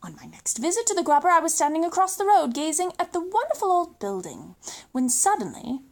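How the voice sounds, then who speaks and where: A British woman reads a children's book aloud as a storyteller. Her voice sits high and swoops up and down with lively range. The delivery is theatrical, leaning hard on key words for dramatic emphasis. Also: a little fast, gravelly. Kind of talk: expressive children's story reading.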